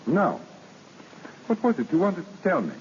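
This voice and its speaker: A tender gentleman, devoted and earnest. It is golden-age radio acting, dull and lo-fi, with long reverb and faint hiss. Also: high voice, wildly swooping pitch, normal speed, full character voice. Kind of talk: 1930s-40s radio drama acting. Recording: muffled, reverberant, hissy old radio broadcast.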